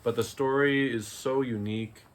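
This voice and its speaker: A man's voice: medium pitch, steady tone, moderate pace, a little hiss or hum. A man co-hosting a casual review discussion, speaking hesitantly and circling back to rephrase what he says. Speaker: visual novel reviewer and co-host.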